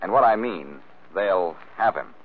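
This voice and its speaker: Low-voiced wisecracking radio detective. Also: male voice, animated, measured pace. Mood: sly. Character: wisecracking amateur detective, cool and sardonic. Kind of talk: 1940s radio drama acting. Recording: muffled, faintly noisy old radio recording.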